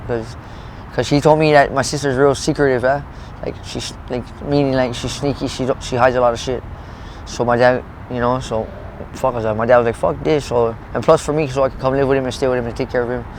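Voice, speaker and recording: A man's voice: mid-range voice, steady tone, quick pace, slight background noise, low-quality recording. A man in his late thirties telling his story in a street interview, rambling, swearing a lot and restarting his sentences. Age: 30s. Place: outdoors in a parking lot.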